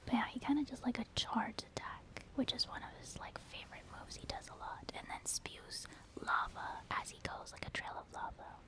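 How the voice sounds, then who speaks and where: Casting: female ASMR gaming host, higher-pitched, breathy whisper, casual and rambling explanations. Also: steady tone, moderate pace. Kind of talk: whispered ASMR game commentary.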